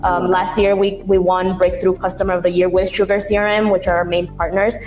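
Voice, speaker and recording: A young woman from Ecuador giving a remote interview as a guest, speaking warmly but hesitantly with many ums. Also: lowish voice, flat delivery, fairly quick, soft background music. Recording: roomy echo with long reverb.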